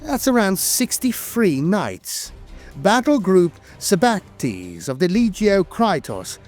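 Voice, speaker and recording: A man reading dramatic scripted lore narration in a theatrical, deliberate way with weighty emphasis. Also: high-pitched, very animated, darkish tone. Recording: music bed behind a dry voice. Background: music.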